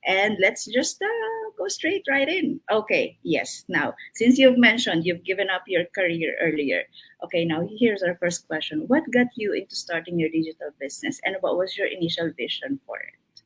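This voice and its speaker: Husky-voiced woman hosting an interview. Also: on the low side, big pitch swings, moderate pace, rough recording. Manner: upbeat, with many affirming "mm-hmm"s. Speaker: interview host.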